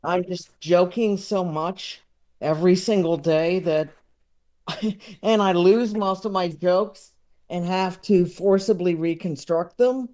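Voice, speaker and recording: Male podcast guest, unhurried and mellow, rambling and self-deprecating in casual conversation. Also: high-pitched. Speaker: podcast guest, comedy writer and filmmaker. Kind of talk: casual interview chat.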